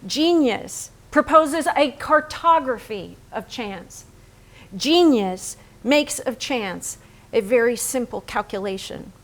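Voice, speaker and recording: A woman acting a cerebral, unflinching painter's wife in a theatrical staged reading, speaking with precise, measured emphasis. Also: fairly high pitch, lively intonation, a bit bright, heavily acted. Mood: fervent, exact. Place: staged reading in a barn venue.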